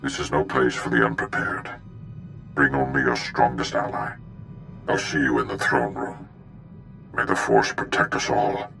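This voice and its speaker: An actor playing a commanding, serene Jedi master in a video game, speaking in a formal, measured, oratorical way. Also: male voice, a bass voice, sing-song, sharp tone, soft background music, exaggerated voice. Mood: solemn, assured. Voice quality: gravelly. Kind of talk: cinematic video game acting.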